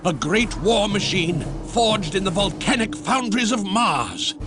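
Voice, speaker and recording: An excitable, grandiose military officer from a game cutscene. He declaims theatrically in a high voice that swoops widely. Music runs behind him. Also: a little slow. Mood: awed, triumphant. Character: zealous male imperial officer, grandiose and excitable. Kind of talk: video game cutscene acting.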